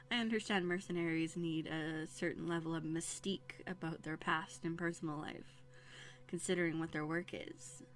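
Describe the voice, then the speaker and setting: A woman's voice: low-pitched, fairly even intonation, moderate pace, lightly acted. A woman playing a young runaway princess in a roleplay audio drama, playful and plucky, with a conversational, teasing manner. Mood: sincere, curious. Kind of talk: scripted one-sided roleplay audio drama. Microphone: close USB condenser microphone. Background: soft music.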